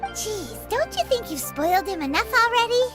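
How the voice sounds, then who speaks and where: A cheeky tiny floating sprite. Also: female voice, extremely high pitch, big pitch swings, normal speed. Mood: teasing. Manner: teasing, chirpy. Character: tiny floating female companion, bossy and cheeky. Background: game music.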